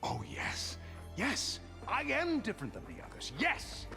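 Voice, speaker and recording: A man's voice: very high-pitched, huge pitch swings, measured pace, creaky, in a whisper, over music, full character voice. A man playing a smug megalomaniac villain in a video game, drawling and condescending with theatrical menace. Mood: manic menace. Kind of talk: dramatic video game cutscene acting.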